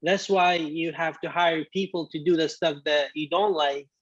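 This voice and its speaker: A man in his twenties, born in Iraq with Arabic as his first language, telling his story as a guest in a casual interview, halting with many restarts. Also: on the high side, steady tone, dry recording, lo-fi sound. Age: 20s. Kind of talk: casual video-call interview.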